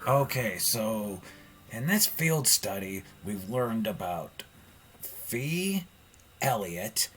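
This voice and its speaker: A man's voice: fairly low pitch, very animated, drawn-out words, a bit bright, soft background music. A man giving casual commentary as he plays through a video game. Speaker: gameplay commentator. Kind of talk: casual let's play commentary.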